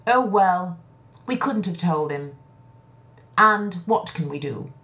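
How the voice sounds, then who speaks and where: A woman storyteller acts out a bossy eldest princess from a storybook. The voice is brisk, projected and commanding, with lively swings in pitch and a creaky edge. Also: full character voice. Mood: scornful, resigned. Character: bossy eldest princess sister in a fairy tale.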